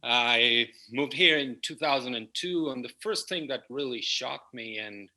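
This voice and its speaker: A man from Argentina, a native Spanish speaker with an Argentine accent, giving unhurried, reflective interview answers over a video call, often restarting his sentences. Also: mid-range voice, pushed and bright. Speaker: guest, property management partner. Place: home in the jungle.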